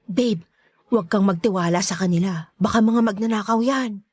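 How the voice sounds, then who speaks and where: A woman's voice: moderate pace, darkish tone, breathy edge, no room sound, clean studio sound, lightly acted. A woman playing a spiteful, jealous shopkeeper in a Filipino radio drama, bickering and two-faced, with a Filipino accent. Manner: bickering, shrill, fake-sweet.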